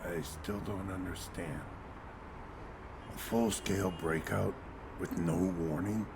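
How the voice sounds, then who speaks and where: An actor playing a weary, honest veteran police commissioner in a comic-book audio drama, gruff and gravelly. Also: male voice, low-pitched, steady tone, takes it slowly, noisy background, full character voice. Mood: incredulous.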